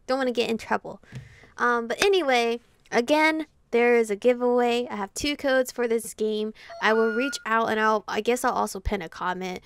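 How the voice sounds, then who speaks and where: A woman's voice: fairly high pitch, steady tone, normal speed, slight background noise. A young woman doing chatty, playful let's play commentary and reading game dialogue aloud, with game music behind her. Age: young adult. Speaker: gaming video host.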